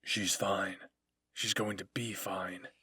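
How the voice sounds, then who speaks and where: A stoic soldier who brushes worries aside, acted by a man narrating fan fiction. He talks slowly and tersely, pitched low, with a rough husky grain. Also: flat delivery, sharp tone, dry recording, studio quality, full character voice. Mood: calm, dismissive. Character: gruff, stoic male soldier, cold and dismissive.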